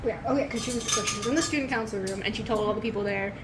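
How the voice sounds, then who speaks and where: A woman's voice: fairly high pitch, very fast, background noise, close to an everyday voice. A woman playing a flustered, rambling young woman in improvised in-character roleplay. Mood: flustered, gossipy.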